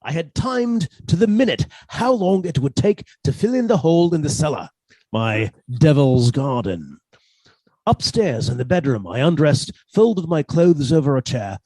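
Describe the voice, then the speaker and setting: A man's voice: fairly high pitch, dark tone, no echo. A man playing a henpecked husband turned murderer in a radio suspense drama, fussy, precise and politely menacing. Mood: coolly confiding.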